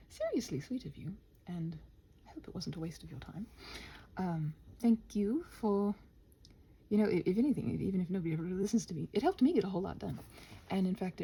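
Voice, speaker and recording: A woman in a casual, improvised stream-of-consciousness ramble, halting and interrupting herself, often slipping toward a half-whisper, with a gentle encouraging manner. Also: medium pitch, gravelly, slight background noise. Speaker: host of an improvised ramble audio for body doubling.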